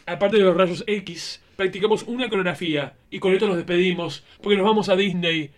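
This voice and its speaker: Man playing a high-voiced campy doctor. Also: a little fast, lightly acted. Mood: mock-solemn, camp. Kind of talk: comic radio play acting.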